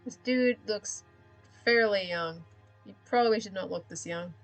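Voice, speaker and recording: A woman's voice: medium pitch, measured pace, soft background music, low-quality recording. A young woman from Australia with a slightly American-drifting Australian accent, chatting casually and rambling with self-deprecating laughs while drawing on a live stream.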